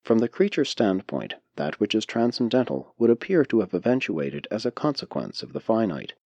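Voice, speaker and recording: A man narrating a spiritual audiobook from a script in a measured, formal way with deliberate enunciation. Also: fairly low pitch, big pitch swings, a little mellow, no echo. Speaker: audiobook reader.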